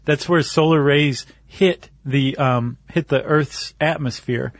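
A man's voice: a little high, takes it slowly, no room sound. A man co-hosting a casual podcast, explaining things step by step in informal, slangy language. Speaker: podcast co-host.

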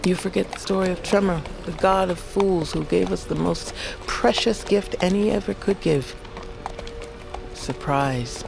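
An actress playing an old healer witch and grandmother in a fantasy audio drama, wry and wise, speaking in measured, cryptic storytelling phrases. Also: on the low side, vocal fry. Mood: musing. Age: older. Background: music behind the voice.

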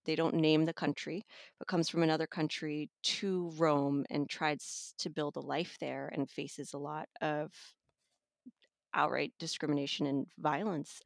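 A woman's voice: low voice, vocal fry, no room sound, clean studio sound. A woman reviewing audiobooks in a casual conversation. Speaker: audiobook reviewer and podcast guest. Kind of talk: casual book discussion.

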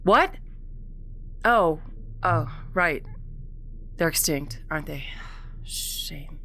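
A woman's voice: low voice, expressive, drawn-out words, fairly bright tone, heavily acted. A woman playing a wry, philosophical rebel prisoner in a science fiction audio drama, with a lofty, theatrical, teasing manner. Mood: amused, lofty. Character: wry, world-weary alien rebel prisoner, grandly philosophical.

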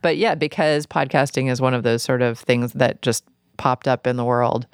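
Man interviewing casually, creaky voice. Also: mid-range voice, steady tone, moderate pace, no echo, clean studio sound. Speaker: podcast host interviewer.

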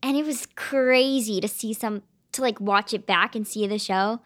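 A teenage girl who acts on stage talks about her work in a relaxed interview. She sounds eager and chatty, with plenty of "um" and "like". Her voice sits at an ordinary middle pitch. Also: normal speed. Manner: eager, chatty, many fillers like "um" and "like". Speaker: young stage actress, guest. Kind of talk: casual interview chat.

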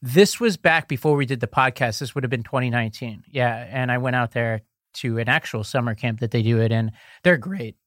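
Chatty podcast guy, mid-pitch, dry close sound, laughs a lot. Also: brisk, no echo. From lives in New York. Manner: chatty, laughs often, animated. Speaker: podcast co-host. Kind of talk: casual chat.

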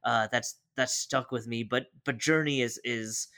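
A man's voice: medium pitch, steady tone, a little slow, pushed and bright. A man co-hosting a casual podcast, playful and a little hesitant, with frequent ums. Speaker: podcast co-host.

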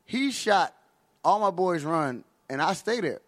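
A man with an American accent telling casual, comedic stories in animated, profane slang as a guest comedian. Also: high voice, unhurried, no echo, clean studio sound.

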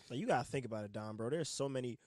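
A man co-hosting a casual group conversation, animated and argumentative, swearing casually. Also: medium pitch, rapid-fire, no room sound. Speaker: podcast co-host.

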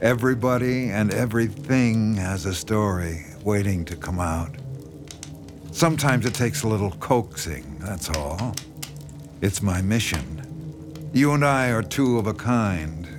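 An actor playing a sinister, obsessive horror writer with a coaxing, intense, condescending manner in a radio drama. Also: male voice, fairly low pitch, expressive, unhurried. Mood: smug, persuasive. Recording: dramatic music behind the voice.

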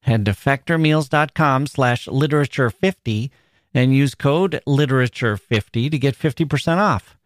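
A man's voice: medium pitch, normal speed, no echo. Man hosting a podcast, close dry sound. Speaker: podcast host. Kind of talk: casual, scripted ad reads and listener email reading.

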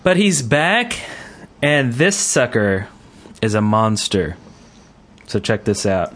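A man with a mid-pitched voice hosts a casual gaming podcast. He rambles along in a jokey, conversational way, circling around his points as he chats. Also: sing-song, slow pace, background noise. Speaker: podcast host.

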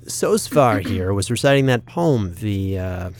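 A man's voice: on the low side, expressive, a little slow, darkish tone, slight background noise. An actor playing an eager male teacher and inventor who talks hesitantly and coaxes a colleague into helping. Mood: coaxing. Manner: hesitant, coaxing, curious. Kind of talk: audio drama acting.

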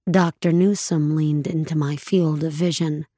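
An actress playing a numb, grieving woman narrating the end of her world in a somber, measured, husky voice for an audio drama. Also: deep voice, steady tone, warm tone, no room sound, studio quality. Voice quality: husky, gravelly. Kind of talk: dramatic first-person audio fiction narration.

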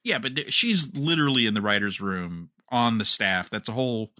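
A man's voice: medium pitch, expressive, normal speed, sharp tone, no room sound, studio quality. A man co-hosting a podcast in casual chat, rambling a little and often repeating words as he goes. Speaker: podcast co-host. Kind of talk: casual chat about TV and fandom.

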